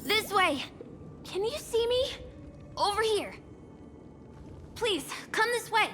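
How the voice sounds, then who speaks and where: A woman's voice: very high-pitched, lively intonation, slow pace, heavily acted. A woman voicing an eager young female guide at a dream hotel in a video game, polite, earnest and apologetic. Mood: urgent calling. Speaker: guest guide. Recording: long hall reverb, loud music behind.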